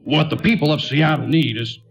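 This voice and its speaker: An actor playing a forgetful, self-satisfied big-city mayor in a 1940s-style radio play, blustery and stammering, repeating himself. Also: male voice, on the high side, normal speed, vocal fry, highs cut off. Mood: pompous, folksy.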